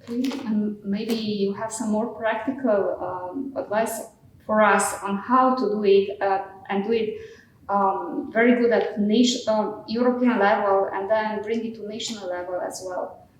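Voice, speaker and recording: A woman's voice: mid-range voice, fairly even intonation, unhurried, slight background noise. Woman from Romania in a very echoey hall. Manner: hesitant, with ums between words. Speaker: interviewer, patient association president. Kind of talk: interview questions. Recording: very echoey hall, mic at a distance.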